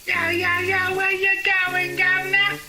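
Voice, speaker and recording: A woman's voice: very high-pitched, completely flat delivery, measured pace. A woman playing a jeering, menacing young street rowdy in a radio drama. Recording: music behind the voice.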